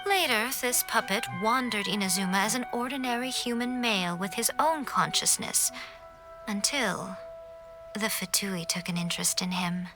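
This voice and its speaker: A woman voicing a knowing, courteous divine fox familiar in an anime video game, speaking in a measured, formal, gently wry manner. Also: mid-range voice, over music, close to an everyday voice, rough recording. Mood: explaining, composed.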